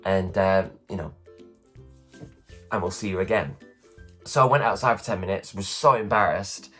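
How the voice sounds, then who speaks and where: A man in his thirties from England, telling self-deprecating stories in a casual morning vlog, his voice low and worn after a late night. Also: normal speed, a bit bright, husky, soft background music. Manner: chatty, self-deprecating, acts out others' lines. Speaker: vlogger, performer. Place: at home.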